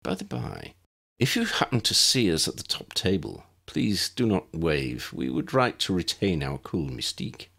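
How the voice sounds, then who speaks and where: A man's voice: deep voice, wildly swooping pitch, moderate pace, vocal fry, a bit bright, slightly rough, full character voice. A man voicing a very old, courtly wizard uncle in an audiobook, formal, pompous and wry. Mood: droll, pompous. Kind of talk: audiobook character voice.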